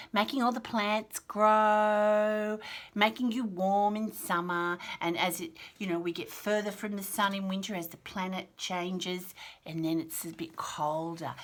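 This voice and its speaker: A woman's voice: mid-range voice, fairly bright tone. A woman reading to toddlers as a children's storyteller, encouraging and sing-song, carefully sounding out words with chatty asides. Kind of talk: read-aloud picture book lesson for toddlers, chatty asides.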